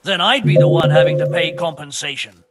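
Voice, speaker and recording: A man's voice: on the high side, fairly quick, a crowd in the background, natural voice. A man playing a blunt, stubborn, money-minded medieval builder in a video game, haggling grudgingly. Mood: dismissive. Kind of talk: video game cutscene acting.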